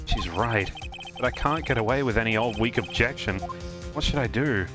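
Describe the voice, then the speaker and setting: A man's voice: mid-range voice, normal speed, natural voice. A man playing an earnest, flustered young defense lawyer in an amateur live dub of a courtroom game. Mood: panicked. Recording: game music clearly behind voice.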